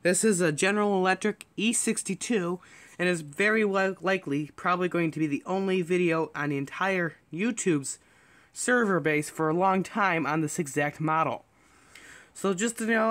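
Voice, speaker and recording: Guy with a kinda high voice doing a casual show and tell vlog, rambles a bit, matter of fact. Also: normal speed, a bit bright. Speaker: vlogger showing an antique radio.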